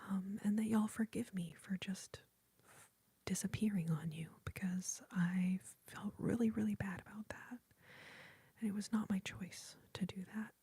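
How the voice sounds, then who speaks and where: A woman whispers very close to the microphone in an airy, almost voiceless hush. Her tone is mellow and soft-edged, and she speaks in a heartfelt, grateful way. The sound is dry, with no room echo. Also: mid-range voice, steady tone, moderate pace, breathy edge. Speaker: ASMR YouTuber hosting a livestream. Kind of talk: whispered ASMR livestream chat.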